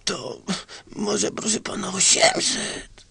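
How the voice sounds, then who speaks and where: Hoarse, pleading old man. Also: high voice, huge pitch swings, takes it slowly, bright, forceful tone, exaggerated voice. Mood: desperate. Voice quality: hoarse, wheezy, creaky. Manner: meek, pleading, overly polite. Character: pleading, frail old man peddling a gold bar. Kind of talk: satirical radio sketch acting.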